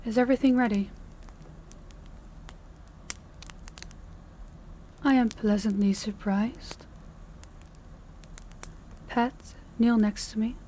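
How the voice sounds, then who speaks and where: A woman playing a stern, condescending female mafia boss in an intimate audio roleplay, giving measured orders directly to the listener. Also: on the high side, fairly even intonation, warm tone, a little hiss or hum, lightly acted, rough recording. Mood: measured, commanding.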